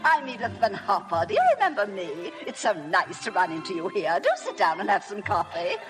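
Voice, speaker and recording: An actress playing a bossy, gushing middle-aged society matron with a snobbish air in a vintage radio drama. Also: female voice, medium pitch, lively intonation, a little fast, lightly acted. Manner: imperious, gushing. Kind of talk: 1950s radio drama acting. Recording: vintage radio broadcast with loud music.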